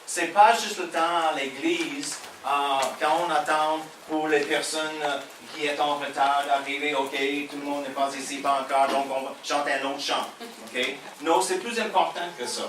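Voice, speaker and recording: A man's voice: on the high side, fairly even intonation, background noise. American man preaching French in echoey hall. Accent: American with Québécois French. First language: English. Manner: halting, many filler sounds, jokes with the audience. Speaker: pastor. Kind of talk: sermon. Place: church hall. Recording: echoey hall heard from a distance.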